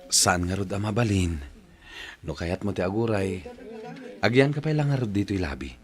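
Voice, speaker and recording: An actor playing an apologetic, coaxing young boyfriend in an Ilocano radio drama. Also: male voice, deep voice, lively intonation, moderate pace. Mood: placating. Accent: Ilocano, northern Philippines. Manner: coaxing, placating. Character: apologetic, placating hard-working young boyfriend.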